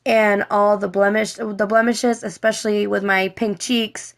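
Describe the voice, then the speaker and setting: A woman with a slightly raspy, scratchy voice, hoarse from a cold, giving a casual makeup tutorial in a rambling, repetitive way and often correcting herself. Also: medium pitch, flat delivery, measured pace. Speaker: cosplay makeup vlogger.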